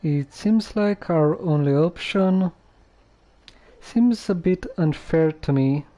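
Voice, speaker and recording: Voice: medium pitch, takes it slowly, dark tone. A game streamer giving casual live commentary in a musing, hesitant manner.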